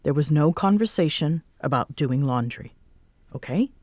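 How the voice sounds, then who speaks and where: A woman playing a prickly, guarded young roommate in an intimate roleplay audio drama, curt, halting and defensive in manner. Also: low voice, lively intonation, a little mellow, no room sound. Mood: stern, guarded.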